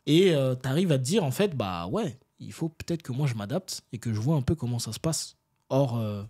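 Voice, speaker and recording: A man from France, an interview guest telling stories in casual, animated, slangy talk and often seeking agreement. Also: medium pitch, normal speed, breathy edge. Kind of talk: casual conversational storytelling.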